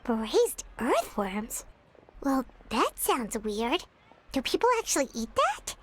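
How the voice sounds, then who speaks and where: A woman voicing a tiny, chatty, excitable female companion creature in an English video game dub, high and squeaky. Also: takes it slowly, exaggerated voice. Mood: curious. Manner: animated, exclaiming and questioning. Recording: game audio with music underneath.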